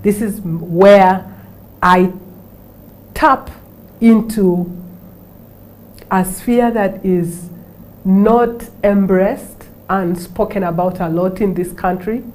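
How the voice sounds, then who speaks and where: A woman's voice: mid-range voice, a little mellow, poor audio. A Ugandan woman, a fashion designer, answering interview questions with many restarts and fillers. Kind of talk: studio interview.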